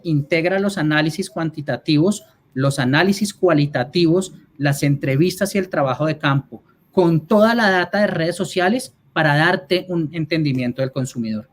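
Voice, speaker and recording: Colombian man, slightly high voice, explaining. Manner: explanatory, frequent check-in tags. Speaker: advertising executive, guest speaker. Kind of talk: answering audience questions in an online talk.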